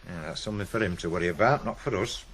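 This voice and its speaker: A man playing a curt, guarded middle-aged working-class father in a radio play, gruff and halting, with a Northern English accent. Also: deep voice, a bit bright, slight background noise. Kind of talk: 1980s British radio drama acting.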